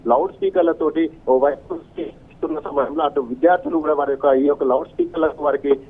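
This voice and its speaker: A man giving an unscripted live news report as a field correspondent, wordy and halting, over a phone link. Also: a little high, steady tone. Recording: thin, narrow phone-line sound.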